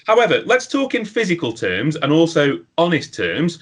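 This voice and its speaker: A man hosting an online show from home, talking casually with many filler sounds and pauses. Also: on the high side, wildly swooping pitch, breathy edge. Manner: hesitant, lots of ums. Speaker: podcast host. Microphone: video call.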